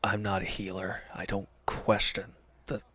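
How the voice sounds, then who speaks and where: A man plays a wounded villain in an audio drama, with a low voice. He is bitter and withdrawn, answering in curt, grudging phrases as if guarding himself. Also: monotone, measured pace, fairly bright tone, breathy edge. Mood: sullen, evasive. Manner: curt, evasive, grudging, sighing. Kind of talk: scripted audio roleplay acting.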